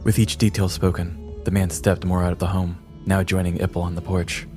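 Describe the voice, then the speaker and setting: Casting: male narrator, very deep and even voice, clear music underneath. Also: brisk. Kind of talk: scripted comedic narration.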